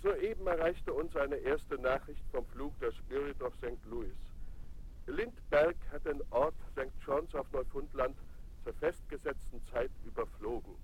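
An actor playing a solemn 1920s radio news announcer, speaking formally and with measured weight in a radio play. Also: male voice, mid-range voice, slight background noise, close to an everyday voice, low-quality recording.

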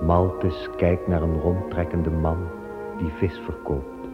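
Deep-voiced male radio play narrator. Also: little pitch movement, slow. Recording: dull old radio recording with long reverb and music.

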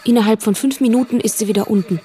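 An actress playing a nervous, watchful girl, narrating a radio drama in measured present tense with a husky voice. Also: on the high side, mellow, soft background music, natural voice. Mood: guarded.

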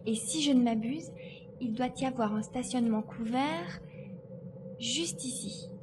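A high, girlish voice, acted by a woman, plays a resourceful adventurer in an audiobook drama. She is lively, confident and a little teasing, explaining things with assurance. A faint noise sits behind her. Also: a little slow, lightly acted. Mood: focused.

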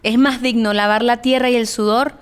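A woman's voice: fairly high pitch, steady tone, a little fast, background noise, natural voice. A woman playing a defiant, sardonic evictee in a radio play. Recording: music running under the voice.

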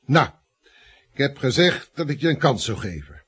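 An actor playing a stern, self-satisfied estate agency boss in a radio play, lecturing pompously. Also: male voice, mid-range voice, sing-song, normal speed, fairly bright tone, husky, heavily acted. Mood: brisk, stern. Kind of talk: Dutch radio drama acting.

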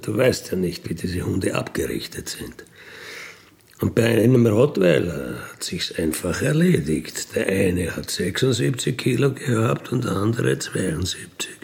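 A man with a gravelly voice, recounting in a blunt, matter-of-fact, colloquial way with emphatic tag questions. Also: lowish voice, big pitch swings, measured pace, darkish tone. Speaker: ex-convict telling his experiences. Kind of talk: colloquial spoken monologue, recounting.

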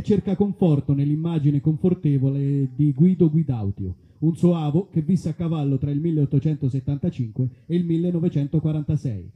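A man's voice: fairly high pitch, narrow, tinny audio. A man narrating a live stage comedy in a mock-solemn, florid storytelling style.

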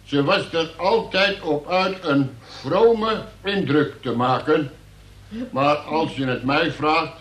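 An actor playing a dutiful police sergeant who formally and haltingly reads out a report in a radio play. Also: male voice, fairly high pitch, a bit bright. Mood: reciting. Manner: formal, officious, halting delivery of official report. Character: dutiful police sergeant reading out a suspect's confession. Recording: roomy, echoing radio play recording.

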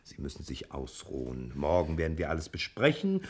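Fussy gentleman detective, pitch jumps all over, stammery, overly concerned, 60s german radio drama. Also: huge pitch swings, brisk, exaggerated voice. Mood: hushed, soothing. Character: solicitous, excitable gentleman detective, fussy and soothing. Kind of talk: 1960s German radio play acting.

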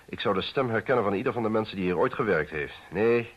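Radio drama politician: very low, rough-edged voice, guarded and formal, on a slightly dull recording. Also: male voice, lively intonation. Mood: defensive, worried. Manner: hesitant, formal, measured. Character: defensive male politician confessing an affair, formal and evasive. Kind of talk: Dutch radio play acting.

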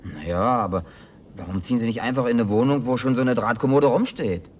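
An actor playing a sceptical ex-athlete and reluctant drifter in a German radio drama, laconic, wry and a little hesitant. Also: male voice, medium pitch, brisk, a little mellow, husky, natural voice.

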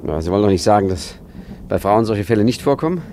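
A man's voice: on the low side, animated, fast talker, warm tone, a little hiss or hum, close to an everyday voice. An actor playing an unflappable, rambling male traveller in a German radio play, hesitant and wryly correcting himself. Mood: awkwardly polite. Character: rambling, unflappable, tipsy male traveller recounting his misadventure. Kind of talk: German radio play acting, chatty first-person narration.

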